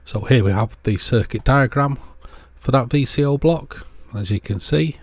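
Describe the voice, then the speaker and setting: A man's voice: medium pitch, moderate pace, dry recording. A man presenting a casual how-to in a plain, understated, step-by-step way. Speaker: presenter of an electronics repair channel.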